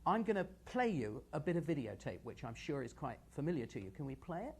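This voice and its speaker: A man hosting a live television show, giving energetic, emphatic and conversational analysis of the media. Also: fairly high pitch, fast, gravelly, a little hiss or hum. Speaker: television host and news critic.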